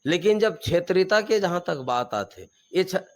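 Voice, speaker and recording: A man with a Chhattisgarhi accent answers interview questions as a regional activist. His voice sits fairly high, and he speaks with fire and force, hammering home repeated slogans. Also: lively intonation, normal speed. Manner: fiery, emphatic, repeats slogans.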